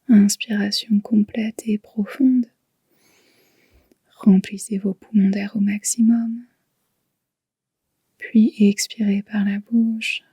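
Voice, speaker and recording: A woman guiding a yoga nidra relaxation in a soft, whispery, breathy voice, soothing and unhurried. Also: mid-range voice, dry recording. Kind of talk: slow guided relaxation meditation.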